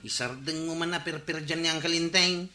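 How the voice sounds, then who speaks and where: A man's voice: a little high, steady tone, a little fast, pushed and bright. Man playing peacemaking watchman, Ilocano accent, earnest admonishing manner, radio comedy-drama, echoey room, background music. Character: earnest male village watchman, peacemaking nephew of the captain. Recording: roomy echo with music behind.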